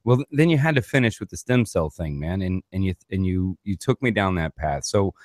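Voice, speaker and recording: A man's voice: sits low, lively intonation, brisk, darkish tone, no echo, studio quality. A man hosting a podcast, chatting loosely, rambling and calling his guest "man.". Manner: rambling, says "man" a lot. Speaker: podcast host. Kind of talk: casual interview.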